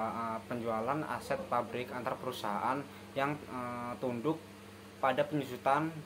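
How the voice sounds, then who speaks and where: Young guy doing a class presentation, mid pitch, stumbles a lot, pretty noisy recording. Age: young adult. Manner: hesitant, often stumbling over words. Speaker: student presenter. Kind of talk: student lesson presentation.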